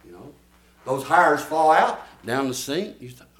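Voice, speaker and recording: A man's voice: fairly high pitch, lively intonation, a little slow, a bit bright. A man, preaching a folksy, emphatic sermon and drawing responses from his congregation. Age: 70s. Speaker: pastor. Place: church. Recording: echoey church room.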